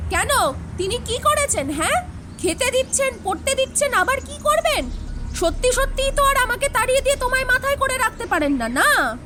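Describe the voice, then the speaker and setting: An actress playing a domineering, sharp-tongued elder sister-in-law in an audio drama, scolding and quarrelsome. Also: female voice, extremely high pitch, very animated, moderate pace, slight background noise, exaggerated voice. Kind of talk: Bengali audio drama acting.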